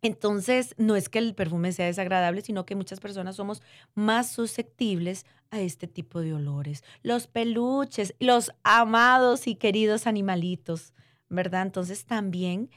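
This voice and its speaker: A woman's voice: medium pitch, measured pace, no echo. Woman doctor explaining in everyday terms, dry sound. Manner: didactic, uses diminutives and check-in questions. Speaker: doctor interviewed as guest expert. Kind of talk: conversational medical explanation for lay listeners.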